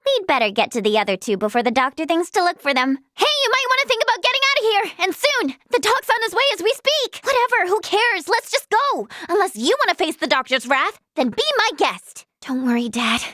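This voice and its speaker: A woman's voice: sits high, very animated, fairly quick, hardly any pauses, fairly bright tone, no echo, clean studio sound. A woman voicing an earnest, plucky, polite young female healer in a video game, with a girlish, youthful voice. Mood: plucky, brisk. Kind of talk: scripted game character dialogue.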